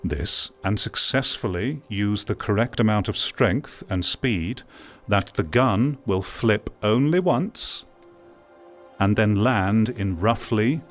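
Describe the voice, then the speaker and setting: Low-voiced man, emphatic commentary. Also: lively intonation. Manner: emphatic, deliberate, repeats phrases for weight. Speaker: VR gaming video creator. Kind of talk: opinion commentary over gameplay. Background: music.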